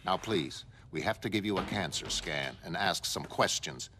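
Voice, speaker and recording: A man's voice: sits low, lively intonation, moderate pace, bright, forceful tone, long reverb tail, slight background noise, pitched below the performer's own voice. A man voicing a brusque, coldly blunt government agent in a motion comic. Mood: officious. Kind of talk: motion comic dramatic acting.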